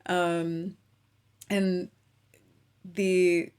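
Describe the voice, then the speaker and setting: A woman's voice: lowish voice, flat delivery, extremely slow, a little mellow, clean tone. A woman hosting a casual interview, chatty and easygoing, asking long rambling questions. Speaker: interview host.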